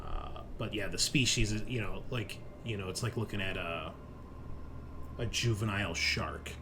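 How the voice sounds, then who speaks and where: A man with a fairly low voice narrates a tabletop game as its game master. He improvises deliberately, leaving pauses as he goes. There is faint noise behind him. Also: creaky.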